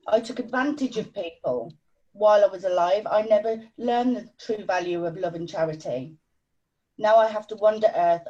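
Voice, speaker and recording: A woman's voice: medium pitch, warm tone, close to an everyday voice. A woman playing a tormented, chained ghost who gives grave warnings, read over a dull, distant video call.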